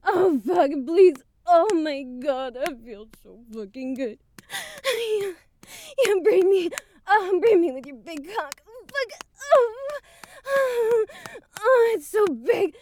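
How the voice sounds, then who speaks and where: A woman playing a very high-voiced eager student. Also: sing-song, slow, a little mellow, a little hiss or hum, exaggerated voice. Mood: breathless pleading.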